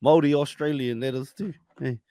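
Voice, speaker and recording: A man hosting a podcast, chatting casually in slang, joking and giving hyped shout-outs. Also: mid-range voice, steady tone, a little fast, no echo, clean studio sound. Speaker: podcast host. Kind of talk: casual interview chat.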